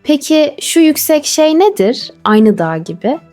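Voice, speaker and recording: A woman voicing an excitable, joking young boy on a space adventure in a children's story. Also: high-pitched, measured pace, soft background music, pitched above the performer's own voice. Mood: puzzled.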